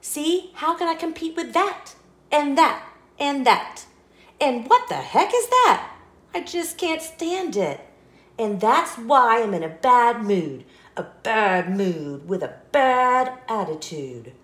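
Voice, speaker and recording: A woman's voice: a little high, big pitch swings, slow pace, a bit bright, echoey room, exaggerated voice. A woman voicing a grumpy, anxious, scheming male sunflower seed in a children's storybook, with dramatic, exclamatory delivery. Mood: grumpy, exasperated. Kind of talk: children's storybook read-aloud.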